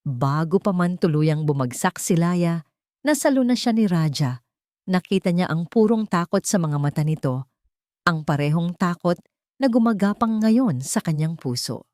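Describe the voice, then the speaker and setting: Low-voiced woman narrating dramatically, very dry. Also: lively intonation, moderate pace, darkish tone, no echo. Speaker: story narrator. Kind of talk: dramatic scripted narration of a romance story.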